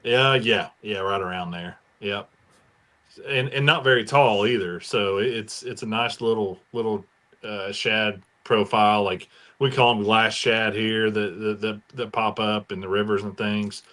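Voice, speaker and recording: American male podcast panelist, lower-pitched with a bright, forward edge, informal and keen. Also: steady tone, measured pace. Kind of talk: casual chat about fishing gear.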